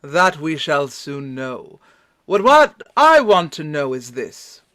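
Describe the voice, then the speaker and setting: Radio drama doctor: male actor, courteous and shrewd, slightly high voice, unhurried, dry close sound. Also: sing-song, bright, forceful tone, no room sound, exaggerated voice. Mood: shrewd, curious. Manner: deliberate, formal. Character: measured, inquisitive gentleman doctor, shrewd and courteous. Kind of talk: radio play reading.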